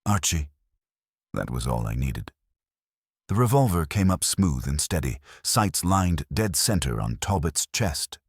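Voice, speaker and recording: A man delivers dramatic scripted narration for a detective tale. His voice is extremely low and slightly rough, with lively intonation, and the recording is dry and close. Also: measured pace, no echo.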